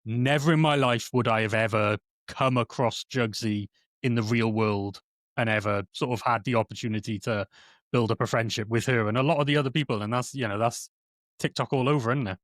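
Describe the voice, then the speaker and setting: A man from South Wales chats as a podcast guest, trading stories with a ready laugh and a habit of repeating words. His voice sits at an ordinary man's pitch, and the sound is dry and close. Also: steady tone, fairly quick, a bit bright, dry recording. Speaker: guest, streamer. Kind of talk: casual podcast chat.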